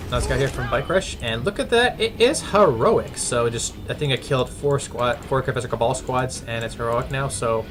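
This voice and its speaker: A man commentating a strategy game replay in a mid-pitched voice, stumbling through fillers and restarts between excited outbursts. Clear background music plays under him, with keyboard typing. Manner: halting, many fillers and restarts, bursts of excitement.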